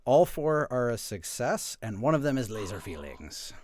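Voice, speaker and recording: A man's voice: medium pitch, very animated, moderate pace, darkish tone. A man playing a tabletop role-playing game, talking casually as he improvises his character's actions.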